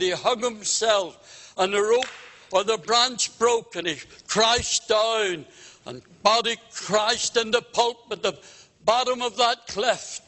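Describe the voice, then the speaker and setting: A man preaching an evangelistic gospel sermon, solemn and exhorting, with deliberate phrasing and direct appeals to his listeners. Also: high-pitched, sharp tone. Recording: long reverb of a meeting hall.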